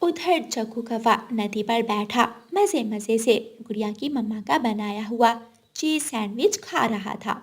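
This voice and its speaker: A woman's voice: fairly high pitch, measured pace, slightly rough. A woman narrating a scripted children's cartoon story.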